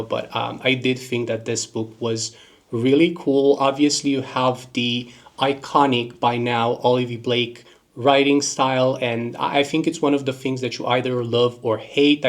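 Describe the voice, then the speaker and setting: Chatty congested young man. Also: mid-range voice. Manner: chatty, rambling, quick bursts with laughs. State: congested from a cold. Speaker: book reviewer. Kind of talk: casual monthly reading wrap-up.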